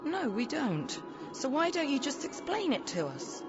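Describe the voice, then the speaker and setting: A woman plays a sardonic, blunt officer of an afterlife patrol in a radio play. Her pitch swings freely as she questions with pointed sarcasm. The sound is dull and lo-fi, with music underneath. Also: big pitch swings, a little slow. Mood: wry. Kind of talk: audio drama acting. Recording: dull lo-fi audio drama recording. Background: background music.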